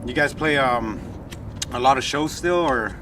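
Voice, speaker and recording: A man hosting a podcast, asking relaxed questions and throwing in quick reactions. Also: mid-range voice, noisy background. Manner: easygoing, reacts with short exclamations. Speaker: podcast host. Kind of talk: casual interview questions.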